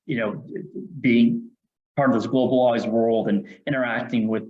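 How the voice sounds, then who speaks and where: A man's voice: mid-range voice, fairly even intonation, moderate pace. A man moderating a student question session over a video call, upbeat and thankful, with stumbling restarts. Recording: dull lo-fi video call audio.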